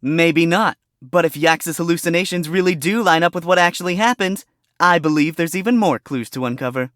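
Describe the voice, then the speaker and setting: An actor voicing a wisecracking male fox defense attorney who talks quickly and wryly. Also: medium pitch, a bit bright, no echo, studio quality, lightly acted. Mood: arguing. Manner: quick, wry, exclaiming objections.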